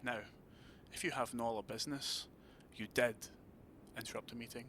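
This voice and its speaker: A man's voice: mid-range voice, fairly even intonation, normal speed, a bit bright, slight background noise, natural voice. A man playing an authoritative vampire prince in an audio drama, formal, courteous and imperious. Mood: cool, dismissive.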